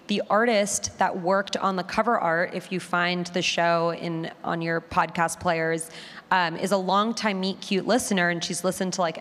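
A woman with a fairly low voice explains her work as a podcast producer on a panel. Her words carry the long reverb of a large hall. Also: a bit bright.

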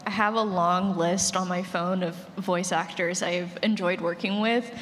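A woman's voice: lowish voice, steady tone, a little slow. A woman talking casually as a panelist about her own work. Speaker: panelist, casting creator. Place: large indoor convention panel room. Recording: reverberant noisy conference room.

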